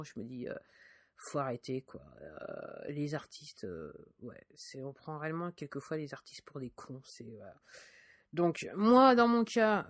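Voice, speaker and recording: A woman's voice: low-pitched, no echo. Hesitant woman musician, dry close sound. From France. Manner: hesitant, many fillers and restarts. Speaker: independent musician and podcaster. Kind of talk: casual spoken monologue.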